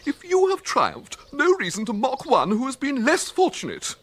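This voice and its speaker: Bleating, wildly swooping English poet. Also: male voice, extremely high pitch, wildly swooping pitch, moderate pace, a bit bright, heavily acted. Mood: aggrieved, scornful. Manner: pompous, aggrieved, bleating. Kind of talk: radio comedy drama acting.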